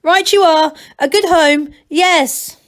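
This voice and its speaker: A woman's voice: extremely high pitch, takes it slowly, pitched above the performer's own voice. A woman voicing a cautious, patient male gnome leader with a hesitant, fussing manner in a children's storybook. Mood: reassuring.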